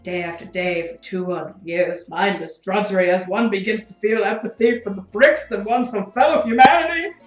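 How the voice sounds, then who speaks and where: A woman's voice: medium pitch, moderate pace, few pauses, natural voice. A woman playing a solemn former slave in an audio drama, speaking formally and dreamily in philosophical metaphor. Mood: awed, solemn. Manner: stilted, formal, dreamy and ponderous. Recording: echoey, dull recording with light music.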